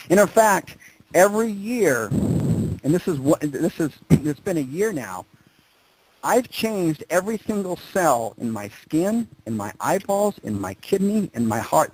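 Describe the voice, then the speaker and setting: Husky-voiced male motivational speaker, very animated. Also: on the high side, measured pace, poor audio. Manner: emphatic, repeats phrases for stress; informal, conversational storytelling. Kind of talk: motivational presentation to an audience.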